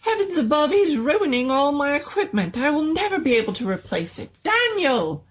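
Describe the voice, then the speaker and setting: Woman acting a flustered, high-strung male doctor, pitched up. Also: sing-song, normal speed. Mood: frantic. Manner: exclamatory, pompous, theatrical. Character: agitated, fussy old-fashioned male doctor inventor.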